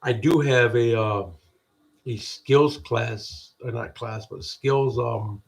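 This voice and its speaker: A deep-voiced man from the United States hosting a podcast, giving a halting, rambling spiritual pep talk full of restarts. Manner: halting, restarts often, repeats "people". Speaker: podcast host.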